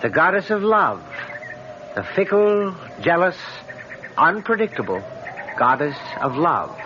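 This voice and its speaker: An actor playing an earnest, superstitious man in a radio drama, solemn and halting, full of unease. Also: a little high, sing-song, bright, forceful tone, exaggerated voice. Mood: reverent. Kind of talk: dramatic radio play acting. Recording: muffled, noisy radio drama recording.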